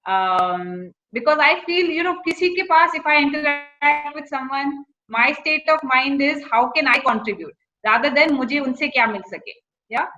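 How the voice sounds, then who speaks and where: A woman's voice: sits high, fast talker, bright, forceful tone, muffled recording. A woman with an Indian accent giving informal career advice to students, slipping between English and Hindi phrases.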